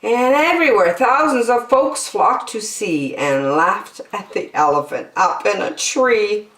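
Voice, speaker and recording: Animated, unhurried woman storyteller. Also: mid-range voice. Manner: dramatic, deliberate phrasing. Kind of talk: expressive children's storybook reading. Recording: roomy echo.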